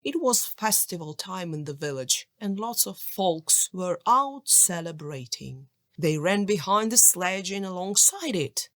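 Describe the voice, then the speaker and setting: A woman narrating a children's folk tale audiobook in an expressive, scripted storytelling style. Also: on the low side, a little slow, dry recording, studio quality.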